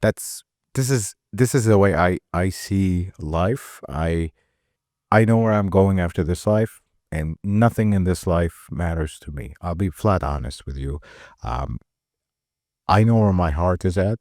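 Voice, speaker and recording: A middle-aged mechanic from Iraq, talking earnestly in a casual conversation, often repeating and restarting words. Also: male voice, low voice, unhurried, a little mellow, dry recording, clean studio sound. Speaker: mechanic and shop owner.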